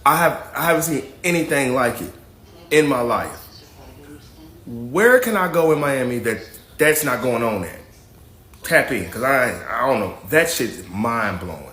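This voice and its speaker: A man's voice: medium pitch, animated, measured pace, fairly bright tone, echoey room. A man speaking in disbelief, stunned by what he has seen. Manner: incredulous, stunned.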